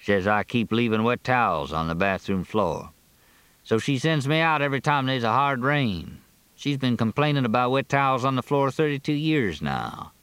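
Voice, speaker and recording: A man's voice: medium pitch, animated, normal speed, a bit bright, clear, resonant tone, dry recording, voice pushed up, lightly acted. A man voicing a small-town sheriff, wry and plain-spoken, in a novel reading. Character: dry-humored, folksy small-town Southern sheriff, long married.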